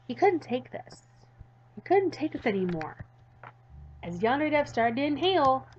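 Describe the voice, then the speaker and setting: Animated high-voiced woman reading aloud. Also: dull audio. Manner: animated, expressive. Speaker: let's play host. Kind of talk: reading a visual novel aloud with casual asides.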